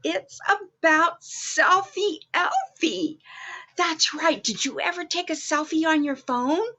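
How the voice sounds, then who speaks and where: A woman's voice: extremely high pitch, animated, takes it slowly, pushed and bright, muffled recording, exaggerated voice. A woman playing a cheerful, motherly Mrs Claus, reading a story aloud to young children and putting questions to them. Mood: welcoming, excited. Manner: exclaiming, addressing young children directly with questions.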